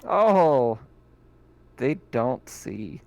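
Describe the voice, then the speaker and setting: A man's voice: mid-range voice, very animated, very slow, gravelly. A man acting as game master, casually narrating a tabletop roleplaying game.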